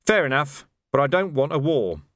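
A man's voice: on the high side, big pitch swings, moderate pace, a bit bright, no room sound, voice pushed up. A man voicing a world-weary, authoritative watch sergeant in an audiobook, speaking tersely and with dry wit. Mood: wry. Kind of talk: audiobook character voice.

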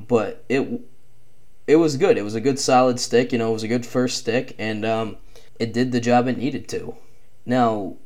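A young man hosting his own podcast, talking casually and rambling with many pauses and restarts, with a slight cough. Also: on the low side, a little mellow. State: slight cough, chest feels off. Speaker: podcast host. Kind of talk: casual solo podcast talk.

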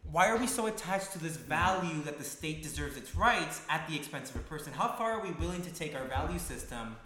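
A man's voice: on the high side, lively intonation, fairly quick, pushed and bright. A man, a podcast guest, talking casually and arguing his point, swearing for emphasis. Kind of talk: casual conversation. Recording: very echoey room with faint noise.

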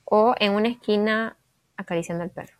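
A woman's voice: mid-range voice, fairly quick, dry recording. A woman, chatting casually and talkatively as a podcast co-host, slipping in the odd English phrase. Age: 20s. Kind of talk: casual chat.

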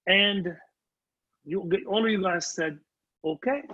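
A man who is a native Arabic speaker, a band leader and singer telling anecdotes in an emphatic, self-deprecating way, repeating his phrases, with a husky voice. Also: sits high, animated, normal speed. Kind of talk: animated anecdotal storytelling in conversation. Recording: dull, muffled lo-fi sound.

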